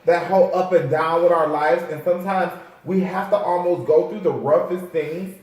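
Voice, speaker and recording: Male American host, higher-pitched voice, effusive and slangy, chatty interviewing, recorded distant from the mic. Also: steady tone, moderate pace, a little mellow. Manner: effusive, encouraging, sassy slangy talk. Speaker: makeup artist. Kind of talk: casual, chatty interview talk. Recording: very echoey room, far from mic.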